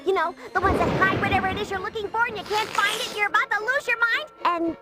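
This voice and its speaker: A woman's voice: extremely high pitch, fast talker. A woman voicing a sassy, pert little girl creature in a cartoon game. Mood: cheeky. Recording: loud music behind the voice.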